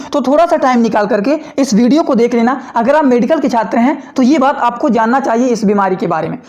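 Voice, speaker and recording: A man giving a fast, loud video lecture to medical students, repeating points and often checking that listeners have understood. He sounds slightly hoarse. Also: very high-pitched. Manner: repetitive, often asking "understood?", mixing English terms into Hindi; talks loudly and fast. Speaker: medical educator.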